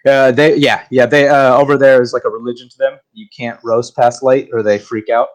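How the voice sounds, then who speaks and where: A man in a casual online chat, relaxed and hesitant, with many fillers. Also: mid-range voice, a little fast. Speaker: guest.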